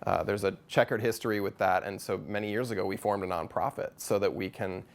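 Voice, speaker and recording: Calm man with a gravelly low voice. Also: a little fast. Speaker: stuttering specialist. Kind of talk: measured promotional interview.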